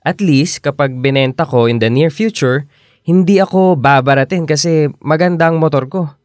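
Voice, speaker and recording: Easygoing young boyfriend played by an actor, dry sound. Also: male voice, fairly high pitch, normal speed, a little mellow, dry recording, lightly acted. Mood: casual, coaxing. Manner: casual, coaxing, hesitant stammers and chuckles. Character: young easygoing boyfriend, sweet, cajoling, motorbike-loving, earnest. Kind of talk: radio drama acting, natural conversational dialogue.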